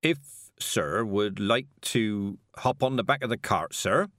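Man voicing a humble, slow-spoken carter. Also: lowish voice, a bit bright, no echo, studio quality. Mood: deferential. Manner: humble, hesitant, plodding. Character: deferential, wary working carter, a man.

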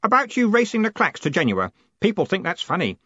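A man's voice: high voice, wildly swooping pitch, brisk, fairly bright tone, husky, no echo, lo-fi sound, pitched above the performer's own voice, heavily acted. A man voicing an eager, naive young clerk in an audiobook, earnest, deferential and a bit pedantic. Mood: happy, eager. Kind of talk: audiobook character voice.

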